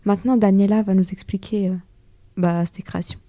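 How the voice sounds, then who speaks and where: A young woman hosting a local radio show, relaxed and colloquial as she asks questions. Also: mid-range voice, a little fast, no room sound. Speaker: radio host. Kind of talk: casual radio interview.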